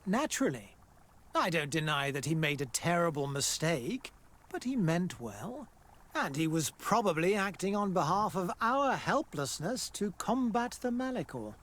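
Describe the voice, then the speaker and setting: A man's voice: high voice, very animated, unhurried, fairly bright tone, full character voice. An actor playing a formal, pompous male scientist who runs a colonial laboratory in a fantasy game. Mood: defensive. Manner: formal, pompous, loftily dismissive. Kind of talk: scripted fantasy game acting.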